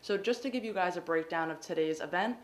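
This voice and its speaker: A woman's voice: lowish voice, fast talker. A young American woman hosting a student livestream, upbeat and welcoming. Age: young adult. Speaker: host.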